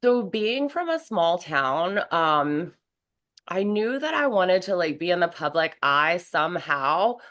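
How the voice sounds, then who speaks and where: A woman from North Carolina, hoarse from a cold, chatting as a guest in an interview with lots of fillers. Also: on the low side, big pitch swings, slow, a bit bright. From North Carolina, USA. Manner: chatty, rambling, many fillers. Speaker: guest, professional wrestler. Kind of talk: casual interview conversation.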